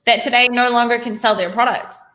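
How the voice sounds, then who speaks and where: A chatty woman from New hosts a casual interview. She rambles and corrects herself as she goes, in a slightly high voice. The room around her sounds echoey. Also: steady tone, brisk, sharp tone. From New Zealand. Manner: talkative, rambling, self-correcting. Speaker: host.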